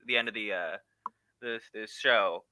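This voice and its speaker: Very bright, crisp-voiced man co-hosting a podcast. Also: fairly low pitch, measured pace. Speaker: talk show co-host. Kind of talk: casual podcast chat.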